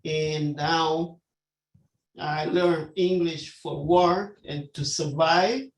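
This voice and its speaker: Slow Angolan-accented man in an echoey room. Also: a little high, steady tone. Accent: Angolan Portuguese. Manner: halting non-native English, emphatic. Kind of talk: earnest conversational advice. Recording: roomy, echoing call audio.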